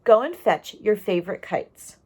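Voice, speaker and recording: A woman reading the part of a wise, calm old great-grandfather, speaking in a measured, resolute way in a storybook. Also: medium pitch, slightly rough. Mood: gentle, resolute. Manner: measured, resolute, addressing family.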